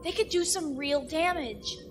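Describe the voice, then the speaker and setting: A woman playing an anxious, excitable crewmate in a space audio drama, worried and exclaiming. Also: high voice, unhurried, over music. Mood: alarmed.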